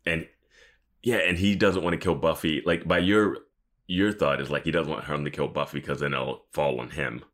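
A man's voice: very deep voice, fairly even intonation, moderate pace, a bit bright. A man co-hosting a podcast in casual, joking commentary, riffing with mock quotes and plenty of filler "like". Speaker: podcast co-host.

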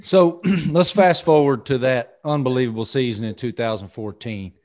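A man hosting a casual interview podcast, mid-pitched and plainspoken. He talks in a folksy way and pokes fun at himself as he sets up the next story. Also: normal speed, a little mellow. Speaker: podcast host.

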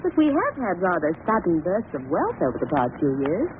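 An actress playing an anxious, pleading wife in a 1940s radio thriller, urgent and melodramatic in manner. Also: female voice, lowish voice, wildly swooping pitch, fairly quick. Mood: worried coaxing. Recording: very noisy, narrow-band old radio recording.

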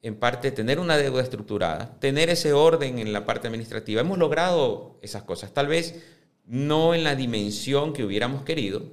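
A man in his fifties answering interview questions on a podcast, speaking in a measured way that often restarts and repeats words as he builds his points. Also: medium pitch, lively intonation. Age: 50s. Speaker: club presidential candidate.

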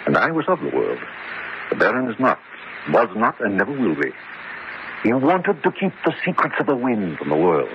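An actor playing a haunted, proud scientist in a melodramatic horror radio drama, speaking deliberately with dramatic pauses. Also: male voice, on the low side, huge pitch swings. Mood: intense, resolved. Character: grown scientist prodigy, haunted, proud, intense former pupil. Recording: muffled, noisy radio drama recording.

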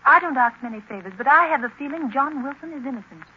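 A woman's voice: sits high, fast talker, lightly acted. A woman playing an earnest, inquisitive society companion in a 1930s radio drama, questioning and pleading. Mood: curious, pleading. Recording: noisy muffled 1930s radio recording.

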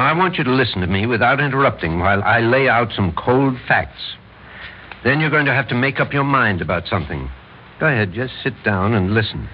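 A man's voice: mid-range voice, natural voice. Man playing insistent older power broker, muffled old radio. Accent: American. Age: middle-aged. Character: domineering, scheming older political power broker, the Major. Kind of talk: classic American radio drama acting. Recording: muffled old radio recording, faint hiss.

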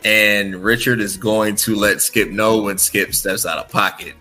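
A man's voice: lowish voice, level tone, measured pace, sharp tone, soft background music. A man hosting a casual sports talk podcast, chatty, often checking in with "right?". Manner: chatty, frequent "right?" tags. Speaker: podcast host.

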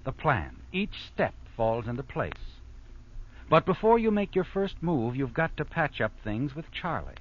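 A man's voice: medium pitch, big pitch swings, unhurried. A man acting as the ominous, insinuating narrator of an old-time radio mystery, addressing the schemer as you. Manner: dramatic, insinuating. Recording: muffled old radio recording with music.

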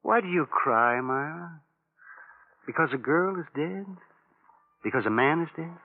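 An actor playing a bitter, cornered American killer and cheating husband in a 1950s radio melodrama, with a volatile, taunting way of talking. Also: male voice, a little high, expressive, a little slow. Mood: cruel, taunting. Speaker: actor in a radio crime drama. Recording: tinny old radio broadcast recording.

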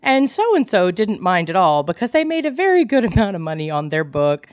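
A woman co-hosting a casual book discussion, retelling things in an animated way and acting out dialogue. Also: mid-range voice, a little fast, no room sound, clean studio sound. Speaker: podcast co-host.

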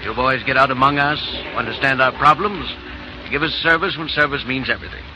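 A man's voice: mid-range voice, fairly quick, natural voice. A man playing a hearty, approving lumber businessman in a 1940s radio drama, with a folksy, emphatic manner. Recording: dull, noisy 1940s radio recording.

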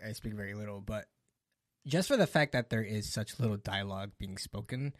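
A man's voice: on the low side, fairly quick, dry recording, clean studio sound. A man in a casual film discussion, retelling scenes with animation and frequent laughter.

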